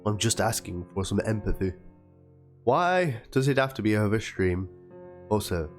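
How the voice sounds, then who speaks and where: Theatrical, aggrieved dramatic reader over music. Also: low voice. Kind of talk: dramatic reading of messages and a document. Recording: music under the voice.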